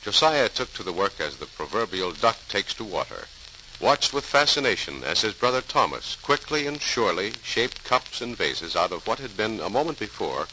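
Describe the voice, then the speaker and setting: A man's voice: lowish voice, big pitch swings, moderate pace, pushed and bright, low-quality recording. A man narrating a scripted 1940s radio program in a formal, dramatic announcer style. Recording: hissy 1940s radio recording.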